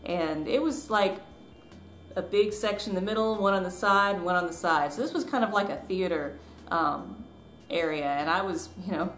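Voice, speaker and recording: A woman's voice: mid-range voice, moderate pace, a bit bright, soft background music. A woman in her thirties from the southern US, chatting casually and self-deprecatingly as she tells a personal story to camera. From southern US, Tennessee and Georgia. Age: 30s. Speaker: author and vlogger. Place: small living room at home, indoors. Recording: echoey room with background noise.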